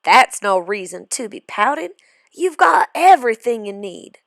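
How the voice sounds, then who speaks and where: Casting: greedy young storybook cowboy boy, played by a woman, insistent and excitable, animated pitch. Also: a little slow, gravelly, no echo, clean studio sound, full character voice. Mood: surprised. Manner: demanding, excitable.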